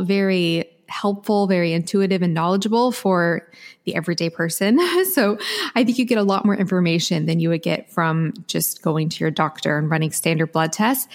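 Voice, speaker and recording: A woman's voice: mid-range voice, darkish tone. A woman hosting a health podcast, upbeat and emphatic as she introduces her guest. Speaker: podcast host. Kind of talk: enthusiastic podcast interview.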